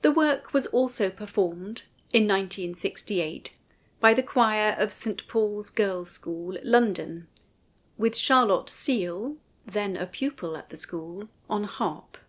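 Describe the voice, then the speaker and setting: A woman narrating a scripted commentary in a formal, measured way, phrasing each sentence with care. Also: medium pitch, fairly bright tone. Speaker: writer and narrator of a music commentary.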